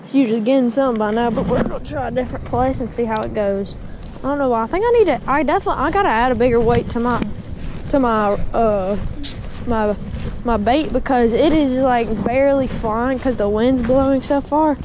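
A man's voice: extremely high pitch, a little fast. A young man, chatting casually to viewers while fishing at a pond, calling them guys and dude. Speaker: fishing vlogger. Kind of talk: casual vlog commentary. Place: outdoors by a windy pond. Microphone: body-mounted action camera. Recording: wind noise outdoors.

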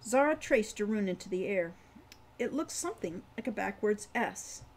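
A woman in her sixties reading fantasy fiction as its author, with deliberate, dramatic phrasing. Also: mid-range voice, lively intonation, darkish tone. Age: 60s. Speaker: author reading own novel. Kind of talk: audiobook-style fantasy narration. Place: home room with kitchen behind.

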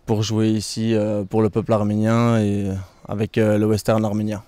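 A man's voice: fairly low pitch, completely flat delivery, moderate pace, mellow, dry recording. A young male footballer from Belgium interviewed outdoors among trees, answering earnestly into a handheld microphone with frequent fillers. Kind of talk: post-match style interview. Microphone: handheld microphone held by interviewer.

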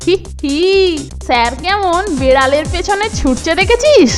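A woman voicing an excitable, mischievous young boarding-school boy in a cartoon, with a boyish voice. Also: very high-pitched, normal speed. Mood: mischievous, curious. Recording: music behind the voice.

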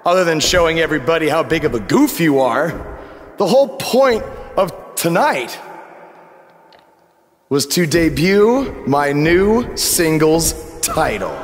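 A man's voice: on the high side, very animated, a little slow. A man playing a cocky, defiant young wrestler in a video game, delivering a brash, taunting promo. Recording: echoing arena, noisy ambience.

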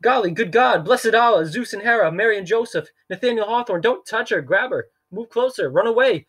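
A man putting on a frantic squeaky-voiced banker. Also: expressive, brisk, a bit bright. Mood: panicked babbling. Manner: frantic, high-pitched, babbling. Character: panicky babbling middle-aged male banker. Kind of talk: audiobook character voice.

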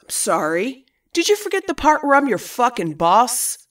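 A woman's voice: on the high side, big pitch swings, normal speed, a bit bright, distant mic. A woman playing a crass, dismissive older garage boss with a sarcastic manner in an audio story. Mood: sardonic, irritated. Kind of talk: audio fiction dialogue.

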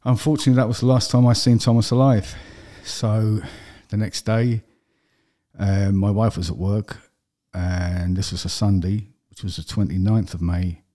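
A middle-aged man from London with a London accent, a podcast guest telling his story plainly, with steady fillers. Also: fairly low pitch, normal speed. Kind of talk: personal interview. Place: podcast studio with brick wall. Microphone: studio desk mic with headphones.

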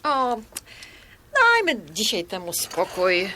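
A reserved mother of about sixty in an audio drama. She is courteous and a little hesitant, in a medium-pitched voice with faint background noise. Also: huge pitch swings, slow pace, sharp tone, full character voice. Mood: subdued. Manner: polite, hesitant. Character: polite, reserved mother around sixty visiting from Poland. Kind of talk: audio drama acting, conversational.

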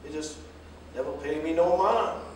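A man's voice: on the high side, moderate pace, a little mellow, lightly acted. A man acting an older, fatherly American jitney driver in a staged play reading, with a folksy, emphatic, lecturing way of talking. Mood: earnest, advising. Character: older railroad pensioner jitney driver, fatherly, plain-spoken adviser. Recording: distant mic, echoey hall, faint noise.

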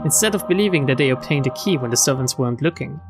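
Expressive streamer with a lively, swooping mid-pitched voice. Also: fast, music in the background. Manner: expressive reading broken by joking commentary. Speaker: streamer reading a visual novel aloud. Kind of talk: let's play read-aloud with casual asides.

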